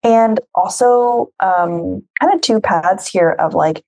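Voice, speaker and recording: A woman therapist interviewing in a casual conversation, affirming and asking measured, open questions. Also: mid-range voice, darkish tone.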